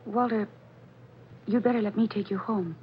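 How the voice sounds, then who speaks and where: A caring, composed young woman played by a radio actress in a vintage 1940s drama. She is patient and plain-spoken, on a dull recording with clear background noise. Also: medium pitch, fairly even intonation, normal speed, natural voice. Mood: concerned. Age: young adult.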